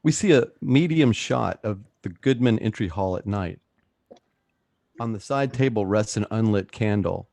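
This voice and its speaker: A man's voice: lowish voice, animated, a little slow, vocal fry, darkish tone, dry recording. A man reading stage directions aloud as the narrator of a radio play. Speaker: host and narrator.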